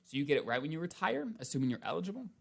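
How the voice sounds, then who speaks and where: A man's voice: on the high side, big pitch swings, rapid-fire, gravelly. A man giving a casual instructional explanation as a financial advisor, going step by step in a conversational way with frequent check-in tags.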